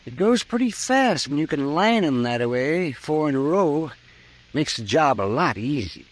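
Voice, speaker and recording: Crusty, high-pitched old frontier doctor. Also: male voice, very animated. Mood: wry, cheerful. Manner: folksy, wry, drawn-out hemming. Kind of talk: 1950s radio western acting.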